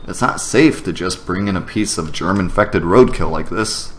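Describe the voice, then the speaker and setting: A man's voice: deep voice, moderate pace, a little hiss or hum, lightly acted. A man voicing a sarcastic, complaining male technician in a story game. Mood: grumbling. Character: wisecracking, grumbling male memory technician on the job.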